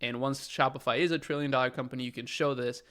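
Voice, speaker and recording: A man's voice: medium pitch, fairly even intonation, fast talker, a bit bright. A young man from Chile talking casually to camera as an e-commerce channel host, upbeat and enthusiastic, with lots of fillers. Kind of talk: casual direct-to-camera talk.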